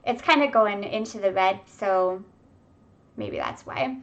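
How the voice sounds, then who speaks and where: A young woman giving excitable, chatty live commentary on a video game match, talking to her viewers from home on a webcam microphone. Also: medium pitch, unhurried, highs cut off. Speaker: esports caster. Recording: webcam mic with faint noise.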